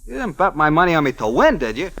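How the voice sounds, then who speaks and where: A man playing an excitable, defensive small-time prizefighter in an old-time radio drama. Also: on the high side, quick pace, soft background music, full character voice.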